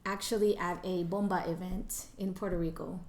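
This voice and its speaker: A woman's voice: lowish voice, fairly even intonation, normal speed, darkish tone. A woman from the Texas-Mexico border, chatting as a guest on a casual podcast. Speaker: guest musician. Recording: echoey room with faint noise.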